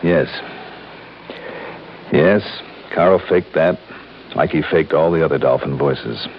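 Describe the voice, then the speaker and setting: An actor playing a terse, commanding insurance investigator who narrates an old-time radio detective drama. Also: male voice, a bass voice, slow pace, slight background noise, heavily acted. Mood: firm, terse. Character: hard-boiled, businesslike male insurance investigator. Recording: dull old radio recording with music.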